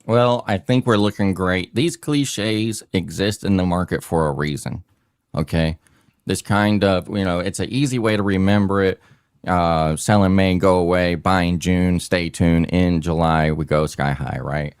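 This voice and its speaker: A man's voice: sits low, a little slow, smooth, no echo, clean studio sound. A man hosting a casual crypto talk show, rambling and emphatic, with many fillers and restarts. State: hot and sweating. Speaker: podcast host.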